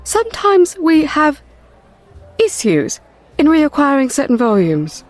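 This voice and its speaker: An actress playing a composed, earnest woman who retrieves occult books, with measured delivery in an audio drama. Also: high voice, huge pitch swings, soft background music, heavily acted. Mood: composed, guarded.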